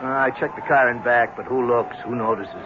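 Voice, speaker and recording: A man's voice: medium pitch, steady tone, a little fast, natural voice. An actor playing a joking patrolman who teases the reporter in a 1970s radio drama. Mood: teasing. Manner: wisecracking, sing-song teasing. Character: wisecracking male patrolman near shift end. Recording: tinny, hissy old radio broadcast.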